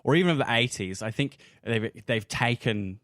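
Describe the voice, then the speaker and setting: A man's voice: medium pitch, expressive, normal speed, fairly bright tone, no echo, clean studio sound. An Australian man hosting a casual round-table chat, often hesitating as he searches for the right words. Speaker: podcast host and game referee.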